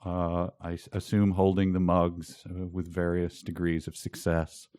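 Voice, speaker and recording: A man's voice: deep voice, completely flat delivery, unhurried, a little mellow, clear, resonant tone. A man co-hosting a podcast, casual and wry, giving mock-serious readings with joking asides. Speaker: podcast co-host. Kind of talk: casual comic book discussion.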